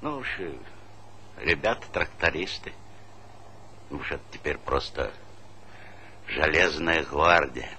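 An actor playing a middle-aged, fatherly village leader in a stage play, reflective and weary, talking in measured, plain phrases. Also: extremely low pitch, animated, pushed and bright, full character voice. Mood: subdued, resigned. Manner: measured, pausing, plain-spoken. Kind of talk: theatrical stage-play dialogue. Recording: noisy vintage stage play recording.

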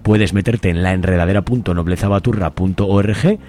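A man's voice: sits low, measured pace, mellow. A man with an accent from Spain presenting a community radio show in an informal, unscripted way.

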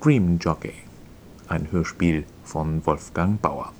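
A man's voice: a bass voice, slow, heavy background noise. A man reading a scripted introduction to a radio play. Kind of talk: scripted introduction, read narration.

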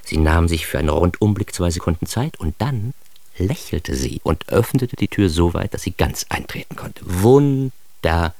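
A man's voice: deep voice, lively intonation, normal speed, darkish tone, no echo. An actor playing an ironic, self-important man who narrates his childhood in a radio drama, with wry, mock-grandiose emphasis. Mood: wry admiration. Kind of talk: scripted first-person radio drama narration.

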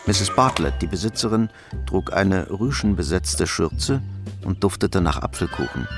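An actor as the narrator of a crime radio drama, measured, composed and matter-of-fact. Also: male voice, deep voice, lively intonation, soft background music. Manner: measured, literary storytelling.

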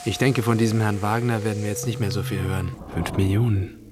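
A man's voice: lowish voice, steady tone, brisk, crowd noise, close to an everyday voice. An actor in a naturalistic radio drama playing a brooding, jealous, suspicious man who speaks in terse phrases. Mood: muttering, brooding. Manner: curt, terse.

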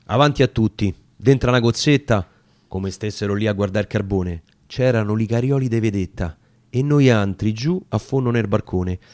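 A man's voice: lowish voice, normal speed, a little mellow, no echo. A man with a Roman accent reciting narrative dialect sonnets as dramatic storytelling. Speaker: actor reading poetry.

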